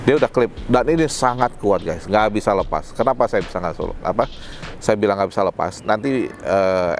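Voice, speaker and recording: Man presenting gear over music. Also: medium pitch. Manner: colloquial, explanatory, addressing viewers directly. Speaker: product presenter. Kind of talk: casual product demonstration to camera.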